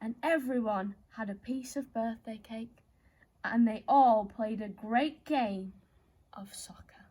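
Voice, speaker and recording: Female children's storytime host, high-pitched, theatrical and playful storyteller. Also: slow, warm tone. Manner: playful, theatrical, addresses young listeners directly. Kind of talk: animated children's story reading.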